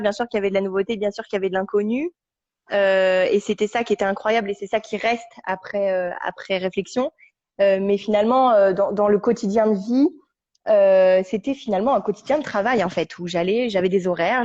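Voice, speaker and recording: Halting young woman with a mellow voice. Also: medium pitch. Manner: halting, searching for words with many restarts. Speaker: guest. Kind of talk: casual storytelling chat. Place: sitting on a couch at home. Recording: dull lo-fi recording.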